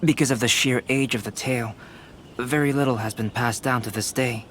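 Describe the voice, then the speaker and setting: A man voicing a calm, composed young male painter in a video game, speaking in a measured way. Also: mid-range voice, a little hiss or hum, close to an everyday voice. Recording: game audio with faint score.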